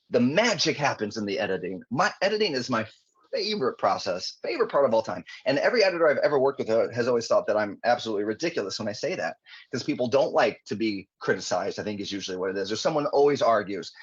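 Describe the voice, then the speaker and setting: A man talking as a guest author in a podcast interview, earnest and animated, often restarting his sentences. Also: fairly low pitch, slightly rough. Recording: dull lo-fi audio.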